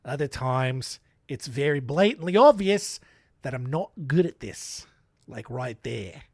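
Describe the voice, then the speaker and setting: A man's voice: a little high, animated, unhurried, a little mellow, dry recording, studio quality. A man telling crime stories for laughs in a shaky put-on Australian accent, rambling into self-mocking asides. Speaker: storyteller. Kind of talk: comedic true-crime retelling.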